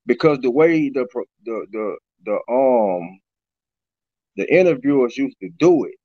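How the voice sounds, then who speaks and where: Raspy middle-aged rapper, laid-back guest, muffled webcam audio. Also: male voice, medium pitch, expressive, a little slow, dry recording. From Baton Rouge, Louisiana. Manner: loose, repeats "you know what I'm saying". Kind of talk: casual interview chat. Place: small room indoors. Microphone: webcam on a video call. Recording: dull lo-fi video call audio.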